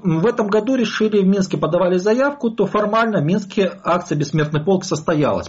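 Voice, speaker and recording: A man giving analytical commentary as an expert, explaining in a halting, self-correcting way over dull, lo-fi audio. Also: a little high, husky.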